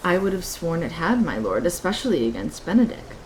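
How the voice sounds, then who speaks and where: A woman plays an old nobleman in Shakespearean radio theatre, a solemn father who loves to gossip. The voice sits rather low for a woman. The home recording carries slight noise. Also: big pitch swings, a little fast, rough recording. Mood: earnest gossiping.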